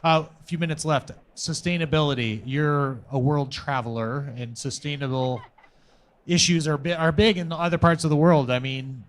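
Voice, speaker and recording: A man's voice: fairly high pitch, moderate pace. Man moderating a panel. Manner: conversational, prompting questions.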